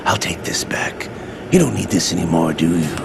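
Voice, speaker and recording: An actor playing a smug, menacing older male villain in a video game, with a gravelly voice and a mocking, ominous manner. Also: low-pitched, expressive, moderate pace, background noise, heavily acted. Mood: smug menace. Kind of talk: video game cutscene voice acting.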